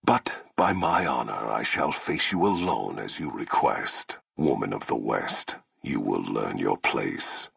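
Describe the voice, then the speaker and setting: An actor playing a grim, proud warlord commander in a video game, with a gravelly, husky, rumbling voice and a solemn, commanding, formal delivery. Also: male voice, animated, unhurried, few pauses, a bit bright, dry recording, studio quality, exaggerated voice. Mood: cruel menace. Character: towering, menacing male warlord commander, proud, grim, honor-bound. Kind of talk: theatrical video game villain acting.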